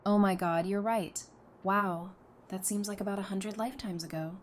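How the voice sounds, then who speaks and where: A woman's voice: medium pitch, steady tone, normal speed, natural voice. Actress as supportive female friend, casual, teasing, familiar; audio drama, faint noise behind. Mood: light, warm. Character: caring, teasing woman, supportive wife and friend.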